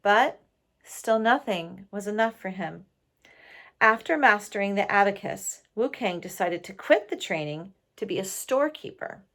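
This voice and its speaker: A woman's voice: medium pitch, animated, fairly bright tone. A woman reading a storybook aloud expressively to listeners, engaging them like a teacher. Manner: pauses to question listeners. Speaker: storybook reader.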